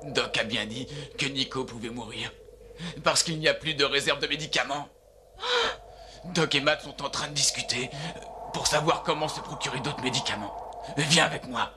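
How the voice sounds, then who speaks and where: Un comédien qui joue un chien-loup héroïque de dessin animé, loyal, au parler simple et sincère. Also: male voice, mid-range voice, sing-song, normal speed, noisy background, close to an everyday voice. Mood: grave. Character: earnest, loyal male wolf-dog hero. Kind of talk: animated film dub.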